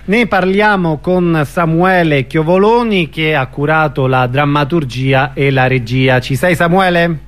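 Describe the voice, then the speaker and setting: A man's voice: on the high side, measured pace, hardly any pauses. A man hosting an informal interview on the radio, putting questions to his guest. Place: radio broadcast.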